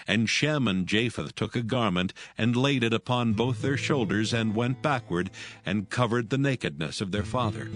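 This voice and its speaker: A man narrating scripture from a script in a measured, solemn manner. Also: on the low side, fairly bright tone, no room sound, clean studio sound. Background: music.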